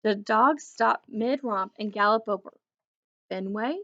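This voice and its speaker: Female storybook reader, high voice with creaky edges, expressive narration, slightly muffled recording. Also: measured pace. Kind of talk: children's book read-aloud, expressive narration.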